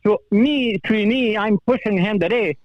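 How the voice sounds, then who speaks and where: Afghan accent guy calling in, pretty high voice, muffled lofi audio, earnest, telling his own story. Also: moderate pace, slight background noise. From Kabul, Afghanistan. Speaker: call-in guest, former police officer. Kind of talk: personal account in conversation.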